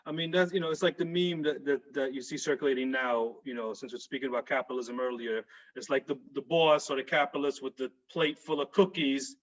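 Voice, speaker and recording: A man hosting an interview, speaking quickly in a casual, hedging way and often restarting his sentences. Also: medium pitch, fairly even intonation. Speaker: host. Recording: dull lo-fi sound.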